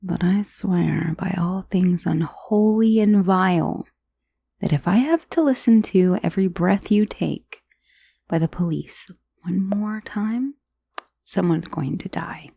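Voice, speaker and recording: Woman doing a sardonic lady dullahan head for asmr roleplay, lowish creaky voice, wry n wistful w a menacing streak. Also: dark tone, no echo, exaggerated voice. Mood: dry, mock threatening. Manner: conversational, dryly sardonic, with sighs and hesitations.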